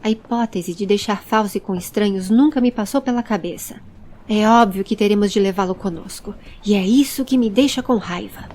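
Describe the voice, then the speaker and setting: A woman's voice: medium pitch, moderate pace, darkish tone, lightly acted. A woman voicing a hot-tempered, protective male traveller in an audio story, scolding in an angry, emphatic way.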